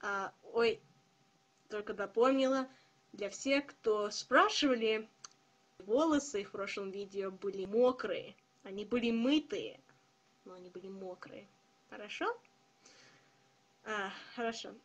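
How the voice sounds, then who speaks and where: A young woman who is not a native speaker, talking to her viewers in a careful, hesitant way with many pauses. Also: mid-range voice. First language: not Russian. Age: young adult. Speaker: vlogger. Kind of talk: casual video address to viewers.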